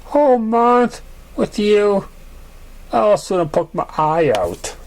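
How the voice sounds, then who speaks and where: A man's voice: high-pitched, lively intonation, takes it slowly, darkish tone, voice pushed up, exaggerated voice, low-quality recording. A man voicing a shy, awkward young stallion pony in an amateur story reading, hesitant and mildly wry.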